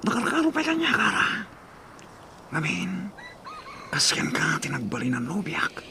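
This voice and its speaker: A man's voice: on the high side, wildly swooping pitch, unhurried, pushed and bright, a little hiss or hum, heavily acted. A man playing a good-natured, lovestruck suitor in an Ilocano radio drama, speaking with an Ilocano accent. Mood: tender, coaxing.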